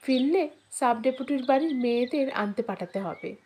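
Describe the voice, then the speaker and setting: A woman's voice: high voice, moderate pace, a little mellow, a little hiss or hum, lightly acted. A woman narrator voicing a bossy, irritable male contractor who gives stern orders in an audio story. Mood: commanding. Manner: stern, commanding. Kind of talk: audio storybook character dialogue.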